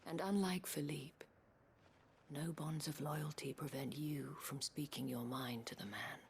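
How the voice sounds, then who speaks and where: An actress playing a worldly, anxious dame in a video game, measured, eloquent and weary, with a breathy, hushed delivery. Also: female voice, sits low, fairly even intonation, slight background noise. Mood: pleading. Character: worldly, anxious dame looking after her wards. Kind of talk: scripted video game drama.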